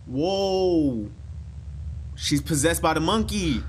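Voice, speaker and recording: Excitable guy in his twenties, slightly high voice, very animated, informal reactor talking in slang. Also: slow, a little hiss or hum. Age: 20s. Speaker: reaction video creator. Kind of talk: casual reaction commentary. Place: small room at home.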